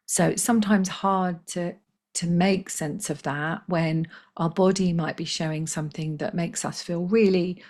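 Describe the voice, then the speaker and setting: A woman with a slightly croaky voice, hosting a live spiritual healing session in a gentle, chatty way and reading out viewers' comments. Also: on the low side. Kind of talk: casual live-stream talk.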